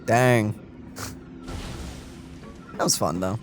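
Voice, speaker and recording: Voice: mid-range voice, drawn-out words, soft background music. A let's play host giving casual, playful, joking commentary on a video game.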